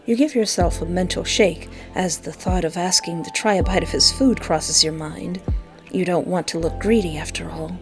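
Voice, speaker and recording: A woman's voice: on the low side, moderate pace. A woman reading a visual novel aloud expressively, with playful, giggly reactions. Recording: music behind. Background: game music.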